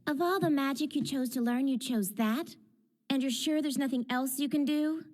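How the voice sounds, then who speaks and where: A woman playing a haughty, sharp-tongued teenage girl mage who explains things smugly, in an English anime dub. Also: high-pitched, normal speed, natural voice. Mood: exasperated. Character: haughty, brisk teenage girl mage, exasperated know-it-all. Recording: loud music behind dialogue. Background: loud music.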